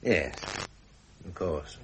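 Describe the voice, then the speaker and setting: An actor playing a wry middle-aged prince with an upper-class British accent, in period radio drama style. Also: male voice, sits low, lively intonation, extremely slow, a bit bright, a little hiss or hum, full character voice. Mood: genial. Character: frustrated, genial middle-aged prince and uncle. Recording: muffled vintage radio recording.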